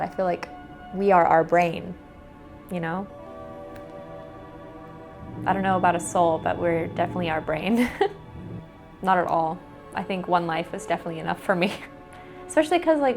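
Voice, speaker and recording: A young woman with an American accent talks in a relaxed, conversational way at a middle pitch. She strings her thoughts together with plenty of "like" fillers. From United States. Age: young adult. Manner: chatty, with frequent "like" fillers. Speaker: interviewee. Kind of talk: casual interview answers.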